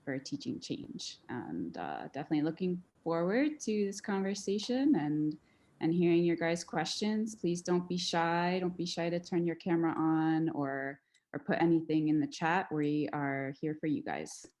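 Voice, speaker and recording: A woman's voice: low-pitched, lively intonation, moderate pace, darkish tone. A young woman from New Jersey giving an informal introduction as a program coordinator on a panel. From New Jersey, United States.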